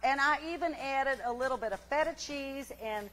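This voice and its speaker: Brief: actress as a homespun TV cook, bright and chirpy, rambling with little fillers. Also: female voice, high-pitched, moderate pace, a little hiss or hum. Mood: folksy chatty. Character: folksy cheery middle-aged woman cooking-show host. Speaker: cooking show host character. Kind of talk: comic cooking segment.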